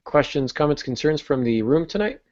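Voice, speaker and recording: A man's voice: a little high, animated, moderate pace, darkish tone. A man hosting a podcast, talking casually about the craft of writing. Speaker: podcast host. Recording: muffled lo-fi podcast audio.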